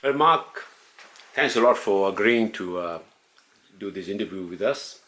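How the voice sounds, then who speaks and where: Low-voiced man reading a formal introduction. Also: expressive, a little slow, sharp tone. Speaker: interviewer.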